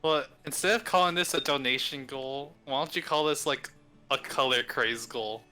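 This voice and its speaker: A man co-hosts a stream, cutting in with dry, teasing remarks. His voice is bright and sits at a middle pitch. Faint music plays behind him. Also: moderate pace. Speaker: co-host. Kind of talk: casual stream chat.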